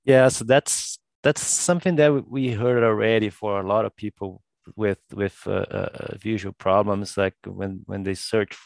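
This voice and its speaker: A man hosting an interview podcast who asks questions haltingly, with many fillers and repeated words. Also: on the low side, fairly even intonation, gravelly, no room sound. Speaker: podcast host. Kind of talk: conversational interview.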